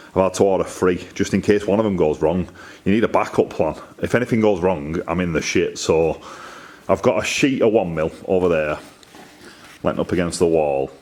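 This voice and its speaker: A man's voice: deep voice, darkish tone. A man with a Northern English accent rambles casually to camera in a colloquial, self-deprecating way, recorded in a workshop. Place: metalworking workshop, indoors.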